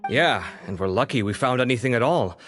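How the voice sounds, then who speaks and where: Dry scholar guy, played by a man, mid pitch, matter-of-fact, game music behind. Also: animated, fairly quick, a bit bright, close to an everyday voice.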